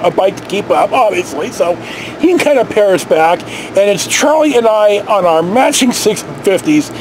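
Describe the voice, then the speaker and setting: High-pitched middle-aged American man. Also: a little mellow, a little hiss or hum, low-quality recording. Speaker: storyteller vlogger. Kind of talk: casual rambling personal storytelling to camera. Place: inside a motorhome.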